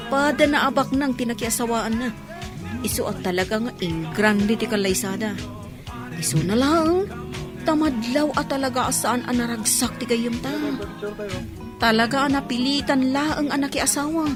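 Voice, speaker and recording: A woman playing a concerned, gossipy friend of a bride in a radio drama, expressive and confiding. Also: high-pitched, moderate pace, lightly acted. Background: music.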